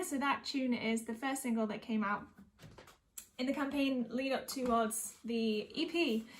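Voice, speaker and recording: A woman from the North West talks casually as a singer-songwriter between songs at an online gig. Her manner is informal and a little hesitant, and she often corrects herself as she goes. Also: on the high side. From North West England.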